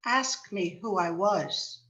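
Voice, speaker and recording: A woman voicing the solemn ghost of a dead businessman, measured and cryptic, in a dramatic reading of a Victorian ghost story. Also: medium pitch, fairly bright tone. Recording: dull, lo-fi sound.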